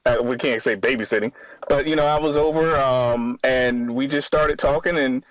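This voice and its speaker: A man in his thirties calling a radio show, answering haltingly and defensively with stammered restarts. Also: medium pitch, highs cut off. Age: 30s. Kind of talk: spontaneous phone-in conversation.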